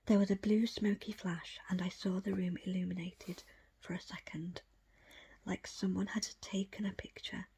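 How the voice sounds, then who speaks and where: A woman reading a book aloud as ASMR, in a breathy, unvoiced whisper with a slow, hushed storytelling delivery. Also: on the low side, level tone. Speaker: audiobook reader.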